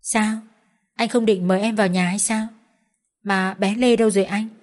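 A woman voicing a relaxed, lightly teasing young woman. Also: mid-range voice, normal speed, natural voice. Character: young woman, warm and playful.

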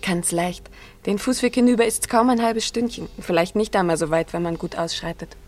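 A woman's voice: medium pitch, a little fast, darkish tone, close to an everyday voice. An actress playing a very young peasant girl in a German radio play, anxious and faithful, speaking earnestly and shyly. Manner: earnest, shy, wistful.

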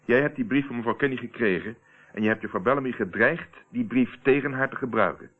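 An actor playing a steady, probing man who confronts a murderer in a radio play, speaking in a deliberate, controlled, accusing way. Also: medium pitch, faint music behind, natural voice. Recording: tinny, narrow-band old radio recording.

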